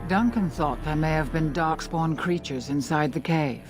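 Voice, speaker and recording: A woman playing a grave, commanding older elf healer who leads her clan in a fantasy game, speaking formally and with measured solemnity. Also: low-pitched, natural voice. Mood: grave, questioning. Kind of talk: fantasy game dialogue acting. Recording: music behind the dialogue. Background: background music.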